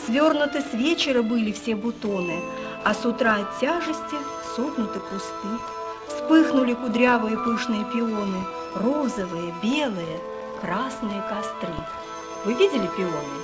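A woman's voice: a little high, animated, a little slow. A woman leading a music lesson for young children, asking them questions and reciting verse expressively.

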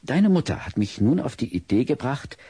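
A big, kindly child psychologist in a radio play, gently probing and explaining. The voice is husky and lively in pitch, recorded dry. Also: male voice, moderate pace, no room sound. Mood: earnest, explanatory. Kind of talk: audio-drama acting.

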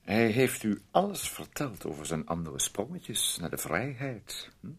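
A fussy, sardonic brother in a radio drama. His voice is husky and swings widely in pitch, halting over small interjections as he condescends and quietly controls. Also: very animated, full character voice. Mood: sardonic, condescending. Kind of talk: radio play acting.

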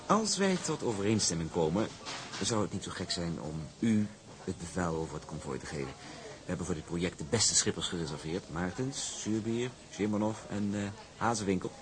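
A man playing a smug young shipping heir in a radio drama, speaking with formal, oily politeness and measured hesitations. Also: low voice, animated, background noise. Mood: affably condescending. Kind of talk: Dutch radio drama acting.

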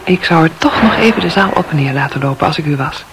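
An actress playing a reserved, gently teasing middle-aged hospital nurse in a radio drama, cautious and coolly polite. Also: female voice, low-pitched, animated, fast talker, noisy background. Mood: cautious, correct.

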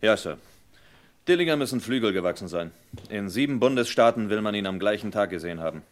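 An actor playing an eager young police lieutenant who reports to his chief briskly and deferentially in an old radio drama. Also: fairly low pitch, lively intonation, lightly acted. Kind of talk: 1960s radio drama acting.

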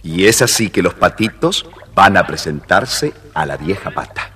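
A man narrating a scripted children's story in an expressive, measured way. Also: low voice.

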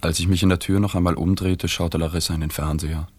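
An actor playing a reflective, reserved journalist narrating a radio drama in a measured, sober, understated way. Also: male voice, low-pitched, flat delivery, darkish tone, husky, no echo. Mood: detached melancholy. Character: reflective, reserved male journalist recalling a dying woman. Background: music.

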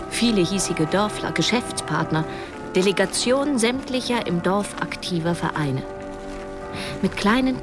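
An actress as a wistful woman storyteller in a radio drama. Her voice sits a little low, measured and reflective, with music clearly heard behind it. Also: natural voice. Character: reflective woman narrator recalling events, wistful. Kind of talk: literary radio drama narration.

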